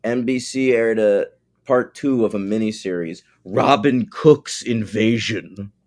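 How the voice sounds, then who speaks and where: A man's voice: fairly low pitch, a little slow, a little mellow. Wry, rambling man hosting a podcast. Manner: wry, rambling, swears casually. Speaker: podcast co-host. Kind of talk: casual film podcast chat.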